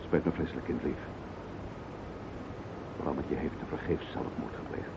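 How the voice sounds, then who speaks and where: An actor playing a callous, scheming murderer in a radio play, blunt and cynical, with a gravelly voice. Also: male voice, rumbling low, moderate pace. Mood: resigned, cynical. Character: callous scheming wife-murderer confessing without remorse. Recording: tinny, noisy old radio play recording.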